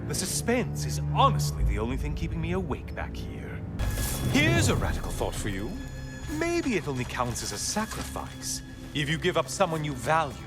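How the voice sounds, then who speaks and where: A man's voice: a little high, wildly swooping pitch, moderate pace, loud music behind the voice. A man playing a snarky, mocking, chatty companion in a video game, with a sarcastic, taunting delivery. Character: snarky, mocking, chatty male companion being carried along.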